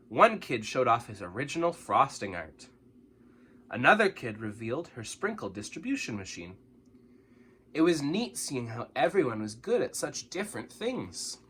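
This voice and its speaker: Lively, bright-voiced man reading a story. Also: medium pitch, slight background noise. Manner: expressive, dramatic, with exaggerated emphasis. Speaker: storyteller reading a picture book aloud. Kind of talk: animated children's story read-aloud.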